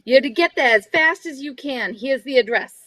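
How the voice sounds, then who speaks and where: A young woman playing an eager young male driver in a radio mystery reading, blunt and excitable. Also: high-pitched, normal speed, dry recording. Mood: excited. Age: young adult. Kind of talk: live reading of old-time radio mystery script.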